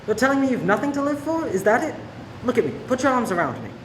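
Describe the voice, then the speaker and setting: A man's voice: very high-pitched, big pitch swings, quick pace. A man playing a protective, persuasive police sergeant in love in a radio play. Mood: urgent, pleading. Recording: echoey room with faint noise.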